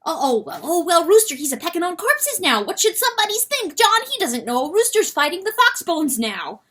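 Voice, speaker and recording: A woman's voice: extremely high pitch, very animated, brisk, a bit bright, pitched above the performer's own voice, exaggerated voice. A woman voicing an agitated, protective male weasel in a storybook, with broken folksy grammar and a habit of calling himself by name. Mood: agitated, flustered.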